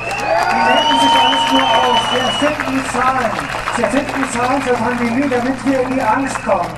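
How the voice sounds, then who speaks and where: A man interpreting a speech live at an outdoor rally, paraphrasing quickly and loosely, often restarting his sentences. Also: very high-pitched, fairly even intonation, recorded from a distance. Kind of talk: live consecutive translation at a rally. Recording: echoey PA sound heard from afar, crowd and music. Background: crowd noise and music.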